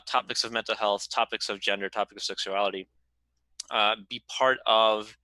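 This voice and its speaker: Sharp, bright-voiced man, closely recorded. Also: medium pitch, clear, resonant tone, dry recording, poor audio. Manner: hesitant, reflective, self-deprecating. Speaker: game writer and developer. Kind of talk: candid conversational panel answer.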